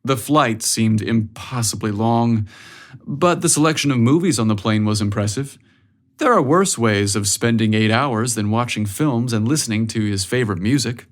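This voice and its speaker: A man's voice: mid-range voice, moderate pace. A man reading a scripted story as its narrator.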